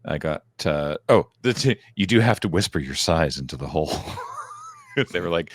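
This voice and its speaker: A man's voice: low-pitched, sing-song, normal speed, slightly rough. A man co-hosting a podcast, telling a rambling story in casual chat with many restarts. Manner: rambling, self-interrupting storyteller. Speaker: podcast co-host.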